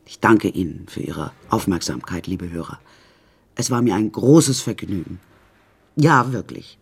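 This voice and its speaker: A woman's voice: very deep voice, animated, a little slow, gravelly, slight background noise, exaggerated voice. An actress playing a vain, deluded middle-aged woman artist in an asylum, delivering a theatrical, self-important radio play monologue. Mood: grand.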